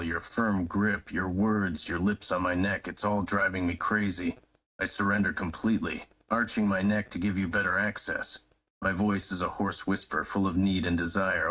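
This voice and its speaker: A man voicing a devoted young lover who gives himself up completely, speaking low in slow, breathy, dramatic declarations. The sound is dull and lo-fi, like stream audio. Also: level tone, fairly bright tone. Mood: yielding. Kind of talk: live-streamed romantic roleplay reading.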